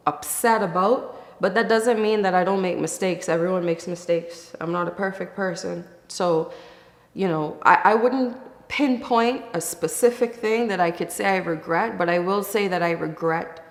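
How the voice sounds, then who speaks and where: A woman from the Bahamas giving casual, reflective interview answers in a thoughtful, candid way, with pauses as she thinks. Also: fairly low pitch. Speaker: interview guest, musician. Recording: echoey, reverberant room.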